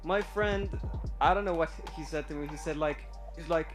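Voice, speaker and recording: A man's voice: fairly high pitch, moderate pace, soft background music. A young man from Iraq calling in to a live stream, telling his story hesitantly with many fillers and restarts. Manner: nervous, admits stage fright. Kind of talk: casual personal story.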